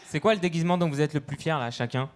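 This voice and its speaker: A man's voice: fairly high pitch, racing, smooth. A man hosting a dating show, asking questions and teasing the guests in casual slang. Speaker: show host.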